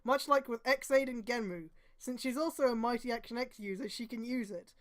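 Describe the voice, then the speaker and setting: A woman's voice: a little high, fairly quick, a bit bright. A woman streamer reading fan fiction aloud, with sweary, exasperated commentary and emphatic delivery. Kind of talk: mocking read-aloud with casual commentary.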